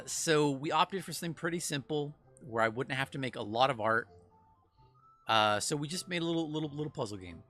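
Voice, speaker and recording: Bright-voiced rambling man. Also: mid-range voice. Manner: rambling, self-interrupting, laughs often. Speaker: game developer guest. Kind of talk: casual stream chat.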